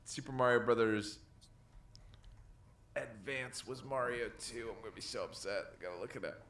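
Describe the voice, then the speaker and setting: A man's voice: mid-range voice, moderate pace, fairly bright tone, slight background noise. A man co-hosting a podcast, talking casually and emphatically, repeating words to drive opinions home. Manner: emphatic, repetitive for effect. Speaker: podcast co-host. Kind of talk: casual opinionated chat about games.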